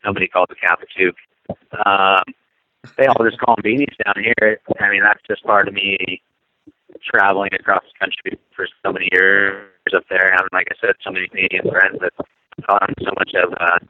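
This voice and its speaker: A man's voice: deep voice, fairly quick, dry recording. Man on a tinny phone line. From United States. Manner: relaxed, rambling, many fillers. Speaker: motocross racer guest. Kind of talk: casual chat over the phone.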